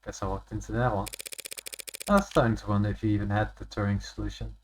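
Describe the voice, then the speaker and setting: A man voicing a wry, sardonic high-powered defense lawyer in a video game, with dry, questioning delivery. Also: steady tone, fast, a little hiss or hum, natural voice. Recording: loud music behind the voice.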